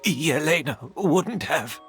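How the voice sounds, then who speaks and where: A performer playing a refined, proud and obsessive master perfumer in a video game, with a deliberate, theatrical delivery. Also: female voice, low-pitched, lively intonation, full character voice. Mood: disbelieving. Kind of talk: dramatic game dialogue. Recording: loud music behind the voice.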